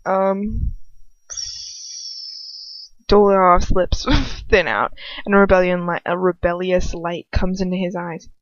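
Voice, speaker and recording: A woman's voice: medium pitch, dry recording, lo-fi sound. A young woman reading a story aloud at home, breaking into goofy, slangy, giggly asides. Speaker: amateur fan fiction reader. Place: inside a house.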